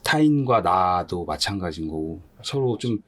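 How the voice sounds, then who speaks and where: A man with a fairly low voice takes part in a podcast discussion. He sounds informal and contemplative, phrasing his ideas tentatively. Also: lively intonation, measured pace. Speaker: podcast panelist.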